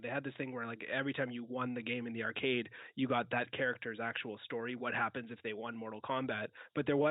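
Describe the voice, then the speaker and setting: A man's voice: mid-range voice, no echo. A man giving casual, talkative and opinionated enthusiast commentary as a podcast guest. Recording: narrow-band phone-like audio.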